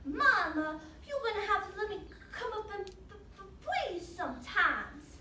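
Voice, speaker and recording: A woman's voice: very high-pitched, sing-song, measured pace, pushed and bright, pitched above the performer's own voice, full character voice. A woman playing an eager, earnest teenage boy with a stammer, pleading and confident in a dramatic solo performance. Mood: struggling. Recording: roomy, distant mic, faint noise.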